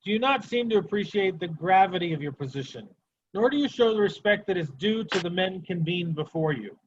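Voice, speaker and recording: A man acts out a stern Soviet prosecutor in a dramatic reading. His voice sits high for a man, with a formal, clipped, sardonic manner of questioning. The sound is dull and lo-fi. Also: expressive, fairly quick, slight background noise. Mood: probing, disapproving. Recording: dull, muffled lo-fi recording.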